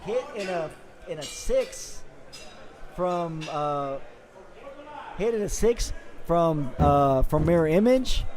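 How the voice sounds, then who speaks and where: A raspy, hoarse man calls the action live with a high but mellow voice. He keeps up an upbeat, steady play-by-play, full of quick fillers and updates. His tone stays warm and dark despite the wear on his throat. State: hoarse from talking for days. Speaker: play-by-play commentator. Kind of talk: live sports commentary.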